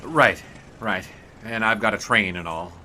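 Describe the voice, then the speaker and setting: An actor playing a wry, earnest 1920s sportswriter in an audio drama, polite and reflective. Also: male voice, fairly low pitch, measured pace, sharp tone, a little hiss or hum. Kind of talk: period audio drama dialogue and first-person narration.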